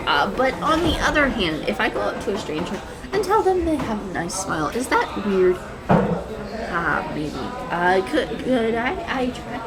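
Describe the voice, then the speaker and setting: A woman playing a shy, anxious young woman in an audio roleplay, rambling nervously and self-consciously to a stranger. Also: medium pitch. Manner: nervous, hesitant, rambling, self-deprecating, giggly. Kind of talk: intimate audio roleplay addressed to the listener. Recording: coffee shop chatter behind voice. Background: coffee shop crowd sound effects.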